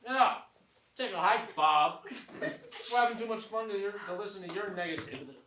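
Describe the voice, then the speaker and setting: A performer in a comic staged reading playing a loyal, scrappy sidekick, exuberant and shouty. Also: medium pitch, fairly quick, close to an everyday voice. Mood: scornful. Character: loyal, cheerful sidekick buddy, scrappy and excitable. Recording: far, roomy stage, clear noise.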